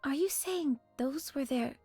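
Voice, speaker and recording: A woman playing a poised, reserved young squad soldier in a video game, speaking in a measured, polite, probing way. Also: high-pitched, mellow, no room sound, faint music behind. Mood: quietly puzzled. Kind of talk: dramatic video game voice acting.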